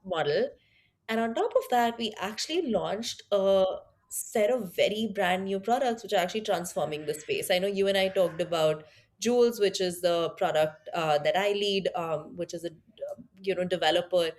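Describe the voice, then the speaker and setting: A woman's voice: medium pitch, moderate pace, a little mellow. A woman from India with an Indian accent, speaking thoughtfully as an interview guest, with fillers and restarts. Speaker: guest, tech product leader. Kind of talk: conversational interview.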